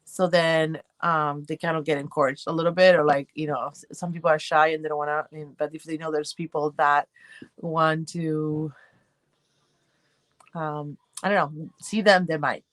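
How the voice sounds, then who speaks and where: A woman's voice: sits low, level tone, moderate pace, no room sound. A woman from Argentina with a light Argentine accent, hosting a casual livestream and rambling as she answers viewers' comments. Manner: chatty, rambling, reads and answers chat comments. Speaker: livestream host.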